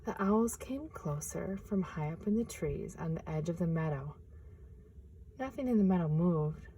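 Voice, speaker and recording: A woman reads a picture book aloud outdoors in a forest, her tone hushed and expressive. Her voice is mellow and sits a little low, and at times she sinks to a whisper. A faint hiss of noise sits under her words. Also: normal speed. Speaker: nature preserve storyteller.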